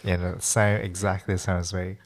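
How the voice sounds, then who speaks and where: A woman's voice: extremely low pitch, little pitch movement, fairly quick. A young Australian woman from Sydney co-hosting a casual podcast, telling stories easily and laughing often. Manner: easygoing storyteller, laughs often. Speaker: podcast co-host. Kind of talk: casual chat.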